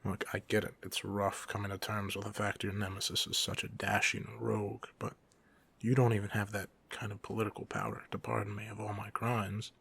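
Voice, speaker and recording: Low, creaky playful young villain, acted by a man. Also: fairly bright tone, exaggerated voice. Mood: wry, self-assured. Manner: teasing, casual, chuckling banter. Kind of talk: ASMR roleplay, one side of a casual conversation. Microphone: close microphone.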